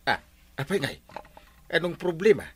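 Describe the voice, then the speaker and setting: An actor with an Ilocano accent playing a hapless, forgetful, henpecked village captain in a radio comedy drama, whining and sputtering. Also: male voice, on the high side, animated, normal speed, fairly bright tone, slightly rough, exaggerated voice. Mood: whining, sheepish.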